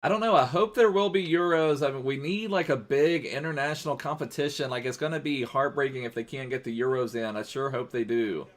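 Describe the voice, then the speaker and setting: A man's voice: medium pitch, fairly quick, hardly any pauses. A man streaming a football video game live, chatting casually in a rambling way and thanking viewers as he plays.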